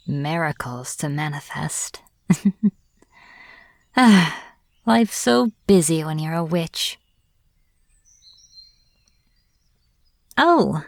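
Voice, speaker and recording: A woman playing a smug, teasing witch in an audio roleplay, taunting a captive in a playful, deliberate manner. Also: deep voice, dry recording, clean studio sound, exaggerated voice. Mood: smug, musing. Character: smug teasing young-sounding witch, captor of a hunter. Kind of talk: audio roleplay, acted monologue to the listener.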